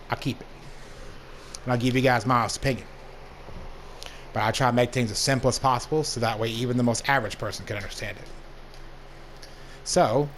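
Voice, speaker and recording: A young man with a hoarse voice from allergies, casually talking through a game review as the channel's host. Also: medium pitch, a bit bright, slight background noise. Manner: laid-back, plain-spoken, rambling asides. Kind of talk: casual gameplay commentary.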